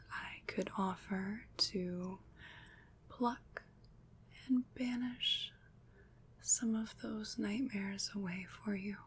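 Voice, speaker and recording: A gentle witch, acted by a woman, whispers in a soft, breathy voice at an extremely unhurried pace. Her phrasing is formal and slightly old-fashioned, with a soothing, caring, faintly mysterious manner suited to ASMR roleplay. The pitch sits in a middle female range, over clearly audible but light background noise. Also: close to an everyday voice.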